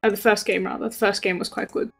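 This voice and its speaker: A woman's voice: mid-range voice, flat delivery, fast, fairly bright tone, soft background music. A woman giving live, conversational commentary on a video game match. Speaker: esports match caster.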